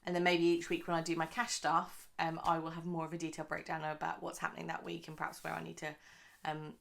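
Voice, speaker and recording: A woman hosting her own budgeting vlog, a little croaky from the early morning, chatting in a rambling way with lots of fillers. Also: low-pitched, completely flat delivery, fast, fairly bright tone. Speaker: budgeting channel host.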